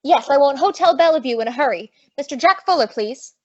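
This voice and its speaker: A woman's voice: high voice, animated, brisk, muffled recording. A woman playing a brash, plucky young wisecracking blonde in old-time radio comedy, slangy and indignant. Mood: brisk, urgent.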